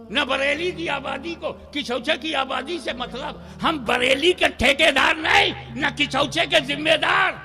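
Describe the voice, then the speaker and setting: High-pitched fiery preacher shouting. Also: male voice. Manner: impassioned, shouting rhythmic refrains. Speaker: Islamic preacher. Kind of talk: fiery religious speech at a gathering.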